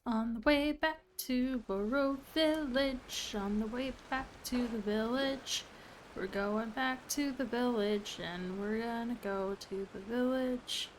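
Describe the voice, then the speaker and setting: A woman's voice: on the high side, slow, slight background noise, poor audio. A woman doing casual, playful live game commentary, musing aloud to viewers as she plays. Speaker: game streamer.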